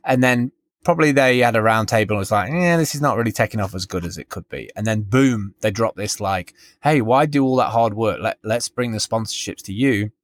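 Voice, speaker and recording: A man's voice: fairly low pitch, brisk, no room sound, clean studio sound. A man co-hosting a podcast in casual, rambling chat, often interrupting himself as he tells a story. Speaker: podcast co-host.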